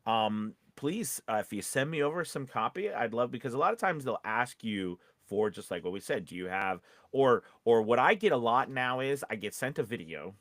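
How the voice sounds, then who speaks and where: A man's voice: mid-range voice, expressive, normal speed, hardly any pauses, fairly bright tone, dry recording, studio quality. A man co-hosting a casual advice chat, informal and encouraging, often acting out little example quotes and checking that he is understood. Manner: informal, encouraging, often checks in with "you know what I mean". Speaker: podcast co-host and voice-over coach.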